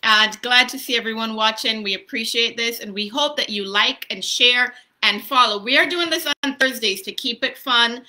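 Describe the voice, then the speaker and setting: A woman's voice: fairly high pitch, normal speed, few pauses, pushed and bright, poor audio. A woman in her thirties chatting to children as a story-time host and pastor, explaining simply and asking questions. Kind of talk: casual, child-directed teaching chat. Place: small room at home. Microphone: laptop or phone at arm's length.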